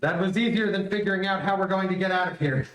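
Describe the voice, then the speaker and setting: A man's voice: high voice, quick pace, a bit bright. A man playing a brave, steadfast space hero in an old-time radio serial, earnest and heroic. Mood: urgent. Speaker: amateur radio play actor. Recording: echoey, distant streamed stage audio.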